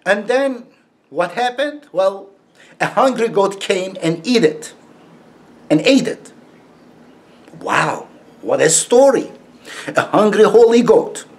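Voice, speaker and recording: Slow, sarcastic man with a heavy Turkish accent. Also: high-pitched, lively intonation. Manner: sarcastic and scornful, laughs mockingly; heavily accented, broken English phrasing. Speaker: YouTube commentator. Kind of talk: casual monologue to camera.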